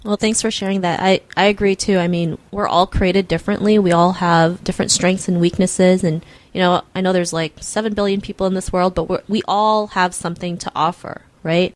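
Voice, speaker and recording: A woman's voice: lowish voice, fairly even intonation, fairly quick, hardly any pauses. A woman hosting a podcast interview, talking loosely and chattily with fillers and restarts. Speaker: podcast host. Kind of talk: casual interview chat.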